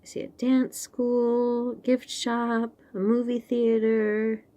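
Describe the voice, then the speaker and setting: A woman reading a picture book aloud to young children in an expressive way, with chatty asides and questions about the pictures. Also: on the high side. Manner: expressive, pauses to point out pictures and ask questions. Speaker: children's read-aloud host.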